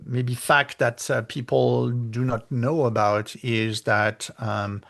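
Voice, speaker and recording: A middle-aged man with a French accent, talking as a guest author in a casual interview, with many pauses and fillers, lively when he retells conversations. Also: medium pitch, creaky. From France.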